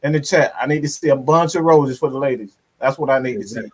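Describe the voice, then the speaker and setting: A man's voice: medium pitch, quick pace. A man hosting a sports live stream in a casual, boastful, playfully threatening way, with slang, repeated phrases and swearing. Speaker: sports show host.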